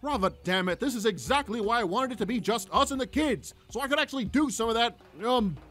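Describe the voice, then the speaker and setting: Overbearing cartoon father, male actor: high, whiny, flustered, animated delivery that jumps around in pitch. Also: fast talker. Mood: exasperated. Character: clueless, overbearing cartoon dad, awkwardly affectionate. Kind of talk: cartoon parody voice acting.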